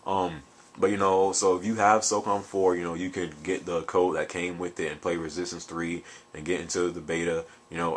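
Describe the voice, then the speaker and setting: A tired man with a very deep voice, chatting casually in a video and rambling with jokes, fillers and restarts. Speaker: gaming video creator. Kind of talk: casual vlog chat.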